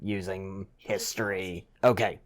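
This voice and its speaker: Unhurried man with a clear, smooth voice. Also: deep voice, fairly even intonation. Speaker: player in a tabletop roleplaying game. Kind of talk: casual game-table talk.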